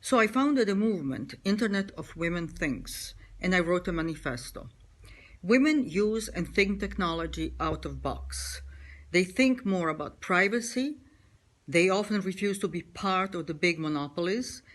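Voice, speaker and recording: Woman, pretty deep voice, calm declarative statement, home video. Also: moderate pace. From Serbia. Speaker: feminist author and performer. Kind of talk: prepared personal statement.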